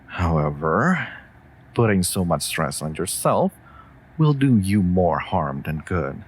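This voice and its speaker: A man's voice: low-pitched, very animated, takes it slowly, slightly rough, heavily acted. A man playing a devoted, worried wolf familiar in a comforting audio roleplay, speaking tenderly and formally to a mage. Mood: earnest, concerned. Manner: tender, earnest, formal phrasing.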